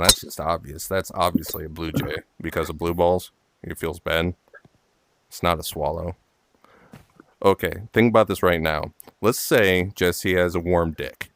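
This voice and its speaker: A man's voice: sits low, dry recording. A man hosting a casual golf game with friends, telling crude jokes and laughing often. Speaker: host and golf player. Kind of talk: casual gaming banter.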